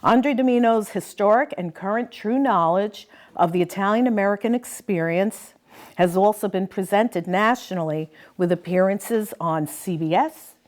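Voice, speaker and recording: A woman introducing a guest speaker at a meeting, reading a prepared introduction in a formal, deliberate manner. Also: medium pitch, darkish tone. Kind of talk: scripted speaker introduction, read aloud.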